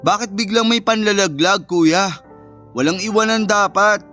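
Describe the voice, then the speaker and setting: Cheeky, high-pitched youngest son. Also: male voice, normal speed, pushed and bright. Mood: teasing. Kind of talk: Filipino family radio drama acting. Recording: faint music bed under voice.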